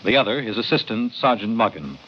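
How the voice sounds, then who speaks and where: An American man narrating an old-time radio crime drama as announcer, measured and dramatic. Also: fairly low pitch, expressive, a little hiss or hum. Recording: dull vintage radio recording. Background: dramatic music.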